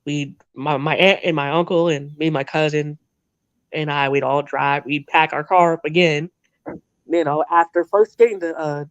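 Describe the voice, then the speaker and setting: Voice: mid-range voice, steady tone, normal speed, clear, resonant tone, dry recording, highs cut off. An interview guest from New Jersey with a half-Northern, half-Southern American accent, telling rambling stories in a chatty way with frequent laughs. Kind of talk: casual storytelling chat.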